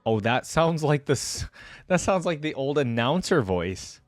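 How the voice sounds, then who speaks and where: A man's voice: a little high, big pitch swings, measured pace, dry recording, soft background music. A man giving informal reaction commentary as host, thinking aloud in a casual way.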